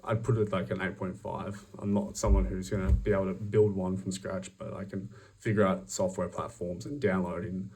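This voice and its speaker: A young Australian man pitching himself for a job in a fairly low voice, picked up from some distance away from the mic. He sounds upbeat and self-promoting, stumbling through frequent ums and restarts as he works from his prompts. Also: little pitch movement, moderate pace, darkish tone, a little hiss or hum. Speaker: job applicant.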